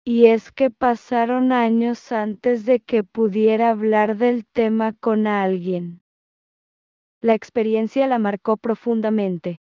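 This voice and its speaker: Mellow woman dictating phrase by phrase. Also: fairly high pitch, steady tone, clean tone, no echo, dull audio. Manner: reads each phrase, then repeats it slowly, calling out punctuation. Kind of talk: reading a news text aloud as dictation.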